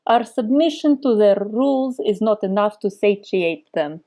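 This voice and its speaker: A woman's voice: medium pitch, expressive, moderate pace, warm tone, close to an everyday voice. A woman voicing her character, a tough Russian-born female FBI unit leader in her forties, reading out a note in a put-on Russian accent. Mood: declaiming. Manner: stiff, declaiming reading of a note.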